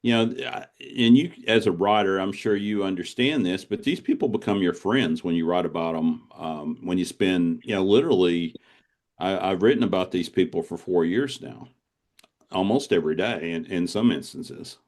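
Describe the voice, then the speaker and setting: A man with a low voice speaks in a loose, conversational storytelling style. He is reflective and tends to ramble, halting and circling back as he explains himself as an interviewed writer. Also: darkish tone. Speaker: author being interviewed.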